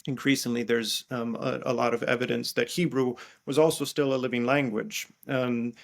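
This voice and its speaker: A man's voice with a gravelly fry underneath, at a middling pitch. He answers like an academic guest in an interview, feeling his way through each explanation and doubling back on words as he rephrases them. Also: level tone. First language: English. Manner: halting, thinking aloud, repeating words as he rephrases. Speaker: guest biblical scholar and priest.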